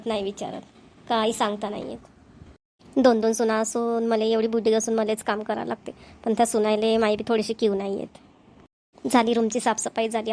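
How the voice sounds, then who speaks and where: Cartoon mother-in-law played by a woman, doting and grumbly, folksy Vidarbha Marathi, rapid delivery over background noise. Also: fairly high pitch, a little mellow, lightly acted. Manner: complaining, folksy, repetitive. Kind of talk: family comedy cartoon dialogue.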